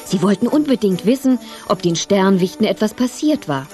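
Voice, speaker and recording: A woman's voice: mid-range voice, animated, moderate pace, dark tone, soft background music. A woman narrating a scripted story for children as the storyteller.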